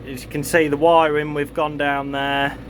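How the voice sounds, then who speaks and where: A man's voice: on the high side, little pitch movement, normal speed, a little hiss or hum. A man talking informally and matter-of-factly through a hands-on job, in a casual how-to vlog recorded on a handheld phone. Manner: informal, matter-of-fact explaining. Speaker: farm vlogger showing a DIY installation.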